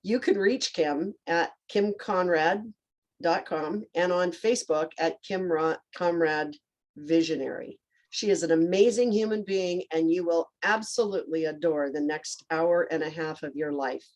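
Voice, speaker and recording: A woman's voice: lowish voice, fairly even intonation, measured pace, a little mellow. A woman host on a video call reading an enthusiastic, emphatic introduction of her guest.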